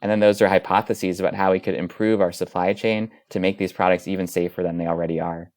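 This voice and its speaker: Male medical expert, interview guest, deep voice, explanatory delivery. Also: steady tone, quick pace, a little mellow, dry recording, clean studio sound.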